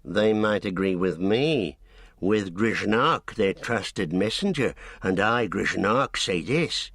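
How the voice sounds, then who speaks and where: A man voicing a sly, evil goblin messenger who talks softly with a sneer in an audiobook. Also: on the low side, expressive, takes it slowly, breathy edge, no room sound, clean studio sound, full character voice. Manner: sneering, soft and menacing. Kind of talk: audiobook character voice.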